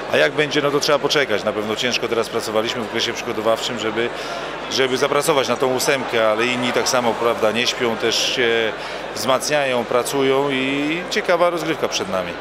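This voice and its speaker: A man's voice: mid-range voice, background noise. A man in his forties, a football coach, answering interview questions at an evening gala into a handheld mic, often repeating words as he starts a phrase. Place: hotel bar at an evening gala, indoors. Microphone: handheld mic held by interviewer.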